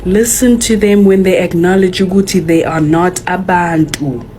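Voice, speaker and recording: Woman, lowish voice, earnest, explaining to camera, faint background noise. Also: steady tone, normal speed, dark tone. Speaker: commentator in a clip.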